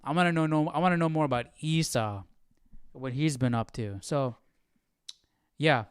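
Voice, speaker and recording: A man's voice: on the high side, moderate pace, clean tone, no echo, studio quality. A man hosting a Bible study livestream, chatting casually and thinking aloud in an informal, questioning way.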